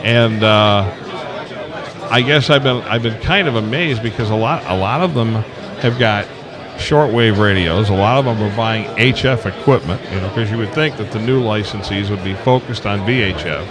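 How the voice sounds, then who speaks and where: A man's voice: fairly low pitch, moderate pace. A man with a Tennessee Southern accent hosting a casual live interview, folksy and rambling as he searches for his words. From Tennessee, United States. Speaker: radio show host. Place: busy convention event. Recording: busy convention crowd noise behind. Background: crowd chatter.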